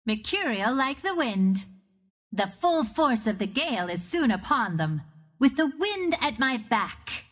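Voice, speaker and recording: A woman voicing a cocky, playful young archer of the wind in a video game, with a confident, teasing manner. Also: on the high side. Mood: proud. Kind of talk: video game character voice lines.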